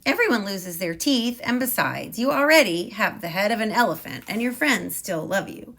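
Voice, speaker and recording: A woman voicing a tiny, kind male mouse sidekick in a storybook read-aloud, using a high squeaky voice. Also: animated, moderate pace, fairly bright tone. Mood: reassuring.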